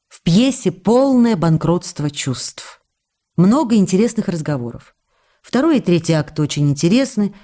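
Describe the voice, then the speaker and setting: A woman's voice: on the low side, warm tone. An actress playing a tender, anxious young wife confiding intimately in letters to her husband in a radio play.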